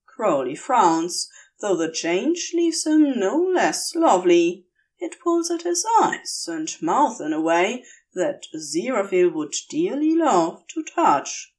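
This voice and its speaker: Woman reading a story out loud, really slow, lots of up and down in her voice, expressive narrator. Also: mid-range voice. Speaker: fan fiction reader, narrator.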